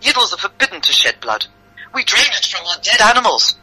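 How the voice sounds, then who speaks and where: A woman plays a defiant, disguised outcast in a storybook reading. The voice is sharp and lively, protesting with indignation. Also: mid-range voice, fairly quick, husky, pitched above the performer's own voice, full character voice, low-quality recording. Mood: indignant explanation. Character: defiant disguised outcast defending their slandered people.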